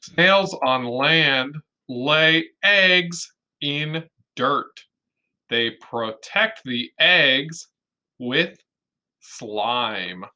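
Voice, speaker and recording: A man's voice: a little high, animated, drawn-out words, pushed and bright. A man teaching young children through a read-aloud, explaining simply and expressively with questions and emphasis on key words. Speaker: kindergarten teacher.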